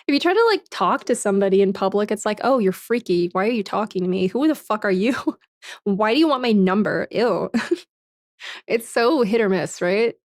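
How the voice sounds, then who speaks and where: A young woman streamer talks things over with her viewers in a loose, frank way. She swears freely, laughs often, and leans on "like" and "man". Her voice sits at an ordinary pitch and sounds dry, with no room echo. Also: lively intonation, moderate pace. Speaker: livestreamer. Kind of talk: casual chat, reading and answering viewer comments.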